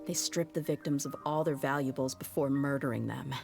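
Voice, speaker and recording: A woman's voice: a bass voice, fairly quick, creaky, music in the background. A woman voicing a tough young female mercenary in a game, talking in a frank, wry, matter-of-fact way. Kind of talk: video game cutscene dialogue.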